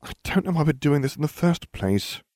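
Man voicing a prim schoolgirl, pitched up high. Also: expressive, normal speed, darkish tone, dry recording, clean studio sound. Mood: indignant. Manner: indignant, scolding, earnest. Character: prim, fretful, bossy young schoolgirl.

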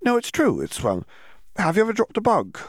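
Storybook heroine: tiny defiant girl, giddy and rambling, pitched well up performed by a male narrator. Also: wildly swooping pitch, fairly quick, no echo, clean studio sound, exaggerated voice. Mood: woozy insistence. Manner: dreamy, rambling, insistent. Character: small stubborn woozy girl, giddy and defiant.

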